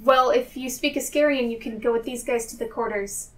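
A woman's voice: a little high, brisk, a little hiss or hum. A woman playing a tabletop game, talking casually with the group. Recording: slightly distant microphone.

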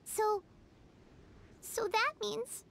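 A woman voicing a tiny, excitable childlike fairy companion in a video game dub, cheeky and emotional, with dramatic exclamations. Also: very high voice, drawn-out words, vocal fry, a little hiss or hum, exaggerated voice. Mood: surprised, concerned. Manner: third-person self-reference, dramatic gasps and exclamations. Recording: loud game music behind voice.

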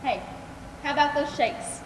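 A woman's voice: sits high, unhurried, recorded from a distance. A young woman on stage playing a caring, steadfast friend with earnest, projected delivery. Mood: stoic. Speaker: stage actress in a school play. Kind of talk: theatrical stage acting. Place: large theatre stage, indoors. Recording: echoey theatre hall, clear background noise.

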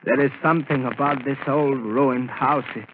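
An actor playing a superstitious manservant in a 1930s radio drama, with halting, stiff, formal phrasing. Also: male voice, medium pitch, fairly even intonation, lightly acted. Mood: servile, uneasy. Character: slow, servile, superstitious manservant to a cruel master. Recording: tinny, noisy 1930s radio recording.

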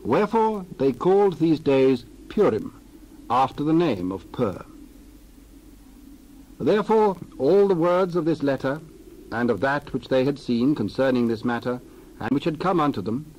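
A man narrating a dramatized scripture reading in a measured, solemn way with deliberate phrasing. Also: medium pitch, expressive, a little mellow, rough recording. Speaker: Bible narrator.

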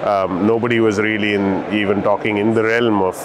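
A man in his forties talks as a technology executive in an interview. His voice is fairly low, and there is clear trade show noise behind him. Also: flat delivery, brisk. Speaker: chief technology officer. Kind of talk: promotional interview talk. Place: indoor trade show booth. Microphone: lapel mic.

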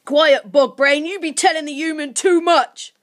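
Woman voicing a high, snappish, bossy little monster. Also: fairly even intonation, moderate pace, close to an everyday voice. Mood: snapping. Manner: barking insults in broken rustic grammar. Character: gruff, bossy, snappish male creaker monster.

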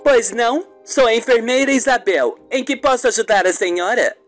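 A performer voicing a deferential, excitable female nurse in a radio soap opera. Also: medium pitch, moderate pace, faint music behind, voice pushed up, lightly acted. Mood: polite.

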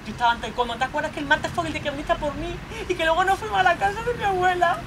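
A woman's voice: mid-range voice, fairly even intonation, fast talker, a crowd in the background, background noise, close to an everyday voice. A woman playing a frightened young girlfriend in a dramatic monologue, pleading and protesting in a Spain accent. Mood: pleading, upset. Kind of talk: theatrical monologue role-play.

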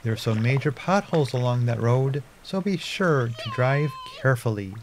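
A man giving calm, scripted narration about a river and its fishing access. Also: mid-range voice, moderate pace, a little hiss or hum.

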